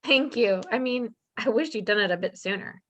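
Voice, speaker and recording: Woman playing unsure teenage witch girl, higher-pitched. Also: normal speed, fairly bright tone, a little hiss or hum, close to an everyday voice. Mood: relieved. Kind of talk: amateur script table read.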